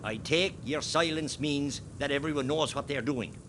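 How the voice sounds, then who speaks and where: A man with an Irish accent playing a steady, rousing rebel column commander in a radio play. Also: normal speed, natural voice. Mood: rallying. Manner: commanding, swinging from quiet briefing to rallying. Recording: faint rumbling background noise.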